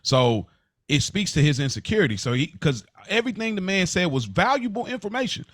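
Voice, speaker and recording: A man's voice: sits high, big pitch swings, a little fast, fairly bright tone, no room sound, studio quality. An American man giving opinions in a casual discussion, assertive and emphatic, repeating his key points. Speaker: podcast co-host.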